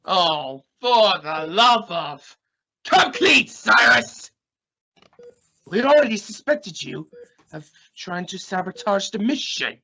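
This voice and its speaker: A man's voice: high-pitched, very animated, slow, vocal fry, bright, forceful tone, husky, dull audio, full character voice. A man playing an exasperated, suspicious space crewman in an amateur radio drama, questioning emphatically. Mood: exasperated, sarcastic. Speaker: amateur radio play actor.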